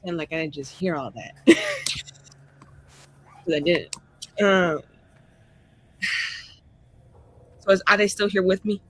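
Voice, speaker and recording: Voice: medium pitch, slow pace, long pauses, fairly bright tone, a little hiss or hum. A player in a tabletop roleplaying game, chatting casually and improvising in character.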